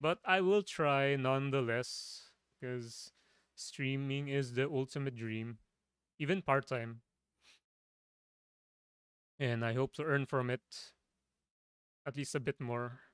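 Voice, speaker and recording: A man with a low, smooth, clear voice rambles through a casual chat, stopping and restarting his thoughts. His voice is a little tired, and it is picked up close and dry at a home computer desk. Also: fairly even intonation, slow pace, pauses often, fairly bright tone, no echo. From Philippines. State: voice tiring. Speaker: streamer.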